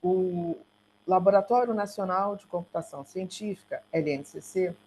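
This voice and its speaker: A woman with a Brazilian Portuguese accent gives a slide presentation on an online call, speaking haltingly and correcting herself as she goes. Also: mid-range voice, very animated, gravelly, mellow. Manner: halting, self-correcting delivery. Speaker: research centre coordinator giving a talk. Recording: noisy online call with hum.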